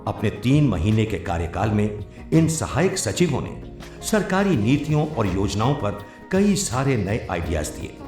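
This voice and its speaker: A man's voice: medium pitch, a little mellow. A man narrating a formal, scripted documentary-style government training film. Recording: roomy echo, music behind. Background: background music.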